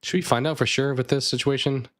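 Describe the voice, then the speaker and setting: A man streaming a card game live, thinking aloud and chatting with viewers, his voice a bit raspy. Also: mid-range voice, fairly even intonation, fast, a bit bright. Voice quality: slightly raspy. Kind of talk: casual live gameplay chat.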